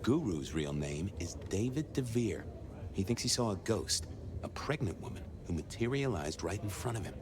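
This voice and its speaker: A wry video game detective with a lowish voice. He probes in a cool, casually polite way, over clearly audible ambient noise. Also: very animated, normal speed. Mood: businesslike. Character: smooth-talking male detective, wry, probing and casually polite.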